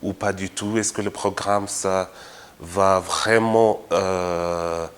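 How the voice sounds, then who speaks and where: A man's voice: low voice, steady tone, slow, clear, resonant tone. A young man from Kenya, a former language assistant, speaking in a testimonial with many fillers and restarts. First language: English. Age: young adult. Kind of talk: testimonial talk to camera.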